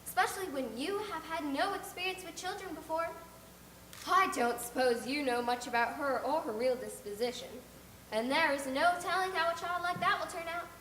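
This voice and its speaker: A blunt, gossipy older neighbor, as a woman narrator puts her on delivers disapproving opinions with emphatic force. The voice sits high and bright. The reading has a roomy echo. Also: normal speed, a little hiss or hum. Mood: gloomy. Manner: outspoken and emphatic. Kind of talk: audiobook character reading.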